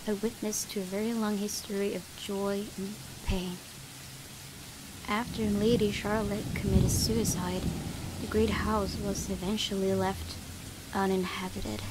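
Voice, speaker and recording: A woman's voice: mid-range voice, level tone, a little slow, noisy background. A woman who is not a native English speaker, hosting a let's play and reading game text aloud. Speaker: gaming video host.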